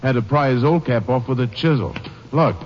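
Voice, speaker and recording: An actor playing a wary gas station owner under suspicion, in a 1940s radio drama, on a dull old recording with faint hiss and long reverb. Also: male voice, mid-range voice, brisk, lightly acted. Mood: explaining.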